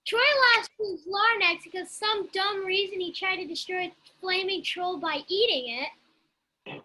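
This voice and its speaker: A woman's voice: very high-pitched, measured pace, pushed and bright, full character voice. A woman reading an exasperated, blunt young female character in an amateur sitcom table read.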